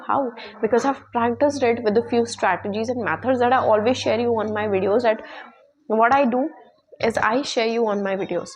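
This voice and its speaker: A woman with an Indian accent giving an informal English lesson, often repeating herself and checking in with "okay" and "right". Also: a little high. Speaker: online English teacher and YouTuber.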